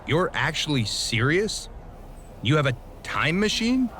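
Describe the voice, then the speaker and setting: A man's voice: a little high, sing-song, unhurried, fairly bright tone, slight background noise. A man playing a serious, weary pro wrestler in a game cutscene, talking dryly as the exasperated straight man. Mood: disbelieving. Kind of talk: scripted video game cutscene dialogue.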